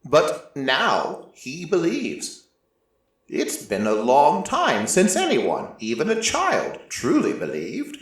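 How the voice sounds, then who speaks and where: A man voicing an ancient, solemn god of prophecy in a dramatic first-person story, with deliberate pauses. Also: fairly high pitch, huge pitch swings, full character voice. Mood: grave, watchful. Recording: heavily echoing, distant-sounding voice.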